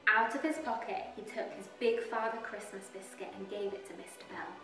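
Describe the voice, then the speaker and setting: A woman reading a children's story aloud as storyteller, expressive and deliberate, with drawn-out emphasis. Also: medium pitch, far from the mic. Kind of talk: scripted storybook narration for young children. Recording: roomy echo, music behind. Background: soft music.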